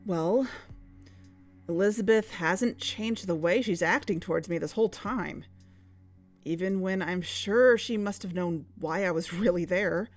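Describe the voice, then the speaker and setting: A woman's voice: on the low side, unhurried, faint music behind, close to an everyday voice. A woman playing an anxious, cautious and conflicted investigator in a naturalistic audio drama. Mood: torn.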